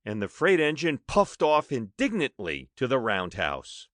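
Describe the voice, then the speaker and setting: A man's voice: mid-range voice, huge pitch swings, unhurried, fairly bright tone, no room sound, studio quality. A man narrating a children's story expressively, with dramatic emphasis as an audiobook narrator.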